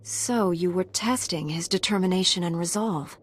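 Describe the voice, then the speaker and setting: An actress playing a composed, principled young female apprentice in a video game, calm and direct. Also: lowish voice, moderate pace, breathy edge. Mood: firm, sympathetic. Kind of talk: video game dialogue acting.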